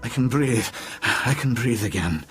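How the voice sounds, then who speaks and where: A man's voice: medium pitch, lively intonation, unhurried, fairly bright tone, husky, faint music behind, heavily acted. An actor playing a pompous, wealthy and shady businessman who is imperious and easily panicked, in a video game. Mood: weakened, submissive. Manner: imperious, blustering.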